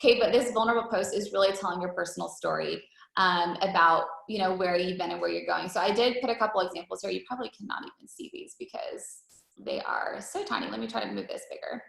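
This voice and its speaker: A woman's voice: medium pitch, animated, fast, vocal fry, a bit bright. A woman leading an informal team training call as a coach, talking in a wordy, conversational way with frequent check-in questions. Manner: wordy, conversational, peppered with rhetorical check-ins. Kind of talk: informal, chatty coaching presentation. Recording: roomy, echoey call recording.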